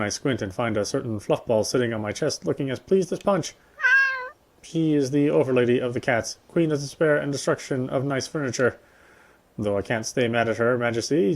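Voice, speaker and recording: A man's voice: medium pitch, darkish tone. A man streaming a visual novel, reading its story aloud and commenting casually. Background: faint game music.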